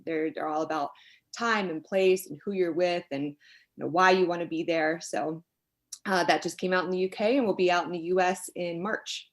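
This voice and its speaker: A middle-aged woman speaks as an expert guest in an interview, her voice a little on the low side. She explains things conversationally and reflectively, feeling her way through phrases with small halts. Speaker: expert guest, sociologist and author.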